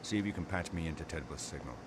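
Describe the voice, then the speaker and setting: A man's voice: extremely low pitch, expressive, fast, noisy background. A man with a British accent playing a cool, commanding secret agent in a video game, speaking in clipped, controlled phrases. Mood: businesslike. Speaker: actor in a spy action game. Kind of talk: cinematic video game acting.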